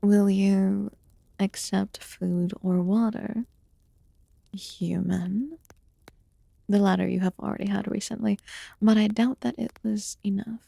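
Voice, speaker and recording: A woman voicing a calm, mysterious immortal witch in a soft-spoken ASMR fantasy roleplay, with formal, archaic phrasing and a breathy voice that often slips into whispers. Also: medium pitch, takes it slowly, pauses often, no echo, clean studio sound, exaggerated voice. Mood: gently concerned. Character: calm, mysterious immortal witch tending a wounded human guest.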